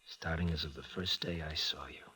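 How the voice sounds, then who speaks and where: An actor with an American accent playing a slippery but lovestruck racetrack tout in old-time radio style, slangy and hesitant, with a husky voice. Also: male voice, a bass voice, fairly even intonation, a little fast, bright, forceful tone, soft background music, heavily acted. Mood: tender, earnest.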